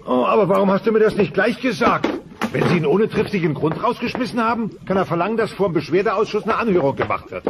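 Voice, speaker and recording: A cheeky, sarcastic dinosaur fellow. His voice is high for a man and bounces around expressively, with heavy noise on the audio drama tape. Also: expressive, fast, gravelly. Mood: dismissive, breezy. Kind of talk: German audio drama dialogue.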